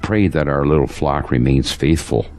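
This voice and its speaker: A man playing a burdened, earnest pastor in an audio drama, speaking solemnly and haltingly. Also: extremely low pitch, darkish tone. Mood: anxious, prayerful.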